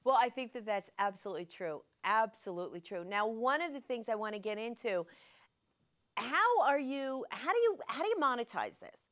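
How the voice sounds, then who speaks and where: A woman with a somewhat high voice hosts a casual interview. She tells stories with animated enthusiasm and asks her guest questions directly. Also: normal speed. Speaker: interview host.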